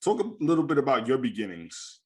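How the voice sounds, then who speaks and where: A man's voice: mid-range voice, big pitch swings, brisk. A man hosting an interview, asking friendly, encouraging follow-up questions. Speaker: podcast host. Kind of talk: casual interview conversation.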